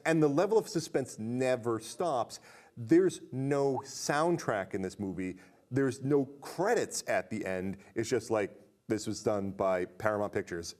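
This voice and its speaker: Man, podcast host, somewhat low voice, conversational, rambling film discussion. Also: darkish tone.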